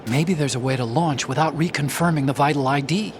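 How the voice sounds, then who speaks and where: A man's voice: on the high side, fairly quick, noisy background, close to an everyday voice. A man playing an earnest, brainy support ally in a video game, explaining things in a dramatic scene. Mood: earnest, explanatory.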